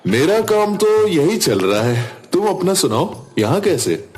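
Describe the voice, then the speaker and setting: A man voicing a calm, reassuring young village cook in a cartoon, polite and assured. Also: high voice, unhurried. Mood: friendly. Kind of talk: Hindi cartoon story dialogue. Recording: background music under voice.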